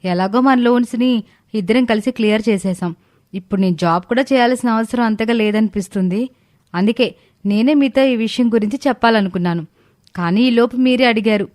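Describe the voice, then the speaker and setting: A woman playing a clever, dutiful young daughter-in-law who speaks politely and respectfully in an animated story. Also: on the high side, fairly quick, no room sound, studio quality, natural voice. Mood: earnest agreement. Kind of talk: animated moral story dialogue.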